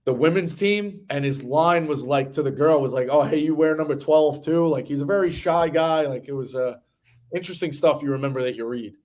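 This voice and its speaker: A man's voice: medium pitch, fairly quick. A man in his thirties hosting a sports betting show, talking in a casual, rambling, conversational way. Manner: rambling, conversational, occasional swearing.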